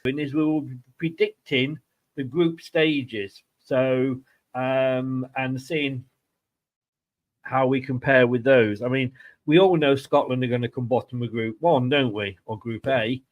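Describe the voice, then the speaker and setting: A man's voice: medium pitch, steady tone, a little slow, narrow, tinny audio. A man hosting a casual football livestream in a jokey, teasing way, given to laughing as he talks. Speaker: host of a football fan channel show.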